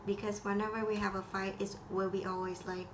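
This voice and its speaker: Flat-voiced Vietnamese teen girl. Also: mid-range voice, a little hiss or hum. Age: teens. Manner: halting, with frequent restarts and grammar slips. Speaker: high school student. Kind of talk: school assignment talk.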